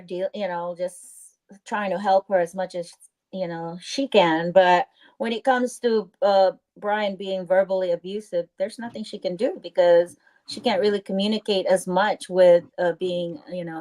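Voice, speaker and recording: A woman's voice: fairly low pitch, a little mellow, low-quality recording. A woman chatting casually on a live stream as a co-host, with an informal, outspoken and indignant way of talking.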